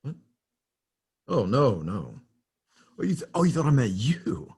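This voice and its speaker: A man's voice: medium pitch, sing-song, unhurried, a little mellow, husky, heavily acted. An actor playing a casual, teasing, awkwardly smitten man in a screenplay read. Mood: flustered. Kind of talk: table read of a screenplay, romantic banter.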